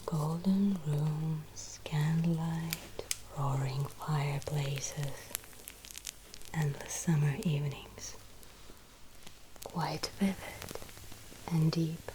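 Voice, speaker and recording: A woman playing a gracious medieval fantasy innkeeper in an ASMR roleplay, speaking in a soft, breathy whisper with gentle, old-fashioned formal phrasing. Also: sits low, steady tone, very slow, long pauses, noisy background. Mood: gentle, mysterious.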